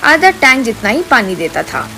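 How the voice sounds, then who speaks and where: A woman narrates a scripted comic moral story. Her voice sits a little high. Soft music plays behind her. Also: very animated, moderate pace.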